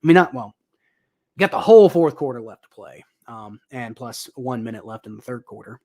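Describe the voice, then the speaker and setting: A young man talks through a football game live, mixing quick play calls with loose, rambling asides full of ums and uhs. His voice sits at a middle pitch and has gone a little dry and raspy. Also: animated, a little mellow. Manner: rambling, thinking aloud with many ums and uhs. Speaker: livestream host commentating football. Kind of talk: casual live play-by-play commentary.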